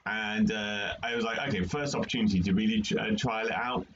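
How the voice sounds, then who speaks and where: A man's voice: fairly low pitch, steady tone, a little fast, fairly bright tone. A man with a British accent chatting about his hobby in a rambling, hesitant way with many fillers. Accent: British English. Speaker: podcast co-host. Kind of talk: casual hobby chat. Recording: dull lo-fi mic with faint noise.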